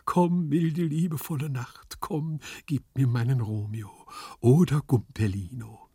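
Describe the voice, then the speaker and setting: Guy doing a fat lovesick aristocrat from a storybook, raised pitch, sighing and declaiming all dramatic. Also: unhurried, dark tone, breathy edge, no room sound, clean studio sound. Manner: pathetic declamation, sighing, swooning. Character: corpulent, lovesick, theatrically sentimental nobleman.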